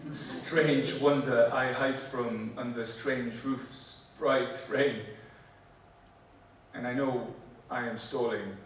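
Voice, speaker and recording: Polish-accented man, slow echoey stage poem. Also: medium pitch, steady tone, a bit bright, a little hiss or hum. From Poland. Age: 40s. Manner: slow, deliberate poetry delivery; halting, joking banter with many fillers. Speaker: performance poet. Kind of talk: spoken word poetry and stage banter. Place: theatre stage before a live audience. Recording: echoey hall, mic from a distance. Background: audience laughter.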